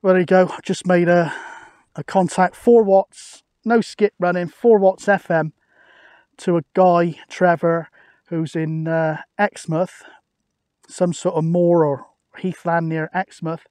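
A man's voice: high voice, steady tone, takes it slowly, a little mellow, no room sound. A British man chatting casually and matily outdoors, as a radio hobbyist making a video. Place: outdoors on an open hilltop.

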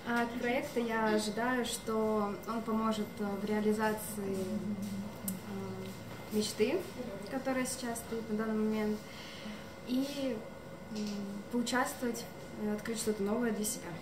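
A nineteen-year-old woman informally introducing herself, thinking aloud with hesitant pauses. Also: medium pitch, fairly even intonation. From Leningrad Oblast, Russia. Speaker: student introducing herself at a meeting. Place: indoor cafe, seated at table, camera mic at a distance. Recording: roomy, distant mic, noisy cafe.

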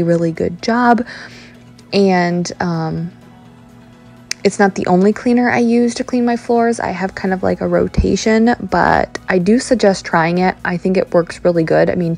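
A woman in her thirties chatting casually about cleaning her home, with lots of ums and likes. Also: on the low side. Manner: hushed, quick talk while kids nap. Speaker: cleaning vlogger. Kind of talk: casual voice-over chat. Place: home, indoors. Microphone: handheld camera at arm's length.

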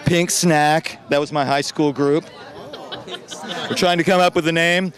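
A man's voice: on the high side, fairly even intonation, measured pace, fairly bright tone, some chatter behind. A man, a musician, cracking jokes in a street interview. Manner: joking, laughing. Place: city street outdoors.

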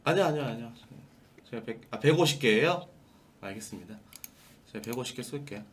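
A man's voice: mid-range voice, animated, a little fast, slight background noise. A young man hosting a live stream from his desk, speaking in stiff, formal polite speech as a dry, teasing mock boss to a caller. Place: small room at a desk, indoors.

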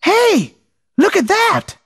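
High-pitched, curious cartoon fruit. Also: female voice, very animated, slow pace, a bit bright, heavily acted. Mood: excited. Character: bubbly, curious little fruit in a children's cartoon. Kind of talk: animated children's cartoon dialogue.

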